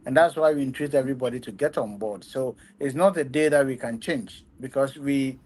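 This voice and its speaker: A man's voice: medium pitch, fairly quick, darkish tone, slightly rough. Founder guy, medium voice, earnest, lots of ums while explaining. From Ghana. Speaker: travel agency founder. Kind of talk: interview answers.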